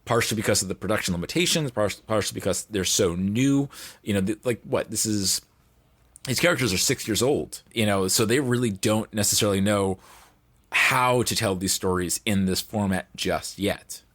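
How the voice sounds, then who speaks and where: A man's voice: lowish voice, moderate pace, a bit bright, no echo. A man talking casually and emphatically about pop culture as a podcast guest.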